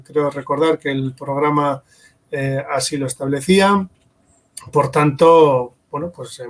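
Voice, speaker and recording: A man moderating an online conference session, reading questions and thanking people in a courteous, formal way. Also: on the high side.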